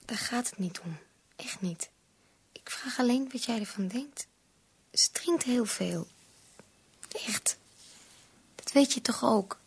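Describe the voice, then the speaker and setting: An actress playing a worried, insistent young bride-to-be pleading as a daughter in a radio play. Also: female voice, fairly high pitch, expressive, slow, vocal fry, pauses often, slightly rough, heavily acted. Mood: hurt, firm. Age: young adult.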